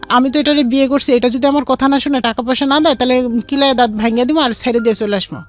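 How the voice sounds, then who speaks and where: A woman's voice: high voice, fast. A woman with a Bangladeshi accent tells a personal story in an interview, acting out both sides of remembered conversations. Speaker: guest telling life story. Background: background music.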